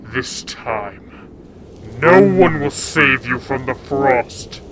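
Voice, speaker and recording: Man playing a slow, contemptuous frost king. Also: medium pitch, expressive, noisy background. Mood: scornful. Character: cold, menacing male frost king, imperious tyrant. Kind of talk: fantasy audio drama acting.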